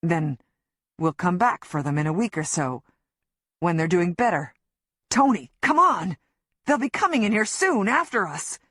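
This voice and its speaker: A woman's voice: low-pitched, animated, moderate pace, pauses often, dry recording, clean studio sound. A woman reading an audiobook and voicing a desperate, violent man on the run who snarls threats. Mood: pleading. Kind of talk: audiobook narration.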